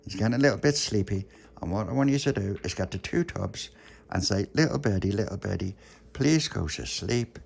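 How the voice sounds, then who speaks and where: A friendly but commanding street magician, acted out by a male storyteller. He coaxes and instructs with showman's patter, his creaky voice rising and dipping freely. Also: lowish voice, animated, a little fast, exaggerated voice. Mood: gently instructing.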